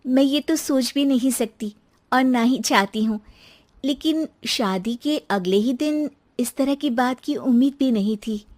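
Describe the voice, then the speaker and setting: A woman voicing an earnest, dutiful young daughter-in-law in a moral story, protesting politely with quiet resolve. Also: high-pitched, unhurried, pitched above the performer's own voice. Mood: hurt, protesting. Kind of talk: acted moral-story dialogue.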